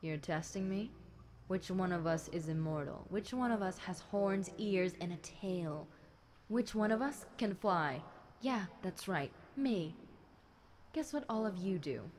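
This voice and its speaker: A woman's voice: fairly low pitch, animated, a little slow, a little mellow. A woman voicing a bored, chatty demon girl in an audio roleplay, casual and teasing, talking straight to the listener. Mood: smug, teasing. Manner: casual, teasing, conversational with light chuckles. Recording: clear background noise.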